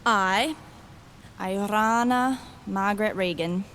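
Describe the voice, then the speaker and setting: A woman's voice: medium pitch, slow pace, fairly bright tone, slight background noise. A woman playing a brash, irreverent thief turned reluctant queen in an audio drama, talking bluntly and casually. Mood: flustered. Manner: casual, blunt, sardonic modern talk.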